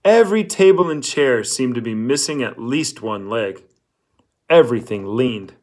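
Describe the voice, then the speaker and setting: A man's voice: mid-range voice, animated, normal speed, darkish tone. A man reading a novel aloud as scripted storybook narration. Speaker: audiobook-style reader.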